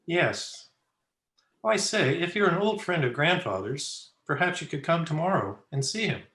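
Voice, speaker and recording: A male actor plays a well-mannered young grandson in an old-time radio drama. His voice sits fairly high and moves animatedly, earnest and puzzled. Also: animated, normal speed, fairly bright tone. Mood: polite. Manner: polite, earnest, hesitant.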